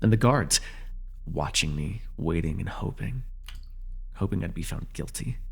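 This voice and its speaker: Man acting a low, gravelly, sardonic ex-hero villain. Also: animated, exaggerated voice. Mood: bitter, hurt. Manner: sardonic, deliberate, addressing a captive. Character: bitter telepathic ex-hero turned villain, sardonic, coldly commanding, weary. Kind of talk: ASMR superhero roleplay acting.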